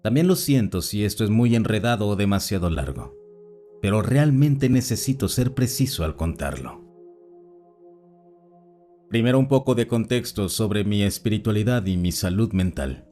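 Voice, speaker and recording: A man calmly narrating written personal accounts as a scripted story reading. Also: lowish voice, moderate pace. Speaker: narrator reading listener stories. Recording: voice-over with faint background music.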